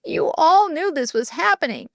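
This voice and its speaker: A woman's voice: sits high, expressive, moderate pace, fairly bright tone, no room sound, clean studio sound, pitched above the performer's own voice. A woman voicing a hurt, shaken middle-aged female schoolteacher whose voice trembles near tears. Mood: hurt, shaky. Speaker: teacher.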